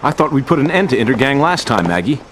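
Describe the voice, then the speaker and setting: Male game superhero: medium pitch, animated range, earnest, confident. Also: fast, a little hiss or hum. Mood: determined. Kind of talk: video game cutscene acting. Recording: music behind the voice.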